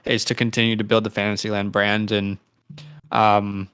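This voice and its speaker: Clear-voiced man in his twenties, chatting. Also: lowish voice, completely flat delivery, normal speed, fairly bright tone, no echo. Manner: informal slang, chummy address. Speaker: co-host of a fantasy football show. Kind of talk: casual livestream chat.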